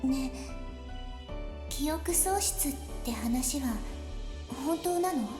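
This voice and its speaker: Very high-pitched anime young woman. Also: animated, unhurried, music in the background. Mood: guarded, subdued. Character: young woman in anime game, tender but guarded and willful. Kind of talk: Japanese anime visual novel acting.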